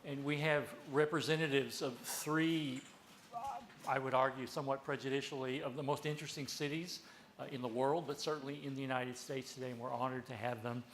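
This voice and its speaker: A man moderating a university panel discussion, introducing the speakers in a measured, polite way with occasional fillers. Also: medium pitch, steady tone, a bit bright, a little hiss or hum.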